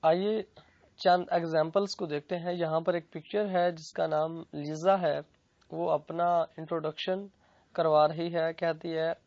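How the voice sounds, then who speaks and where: Gravelly, unhurried grammar teacher with Pakistani accent. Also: medium pitch, no room sound. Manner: methodical, repeats and translates each phrase. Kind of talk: explanatory grammar lesson, bilingual with translated examples. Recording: dull, lo-fi recording.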